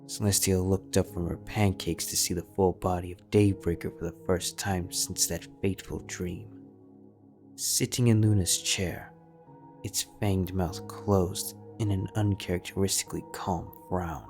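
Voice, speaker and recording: A man narrating a fan-fiction audiobook in a measured, steady storytelling manner. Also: deep voice, soft background music.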